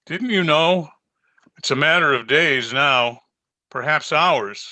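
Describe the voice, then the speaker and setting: An actor playing a grave, concerned country doctor in an old-time radio drama, earnest and deliberate. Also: male voice, fairly high pitch, pushed and bright, exaggerated voice. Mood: grave, urgent.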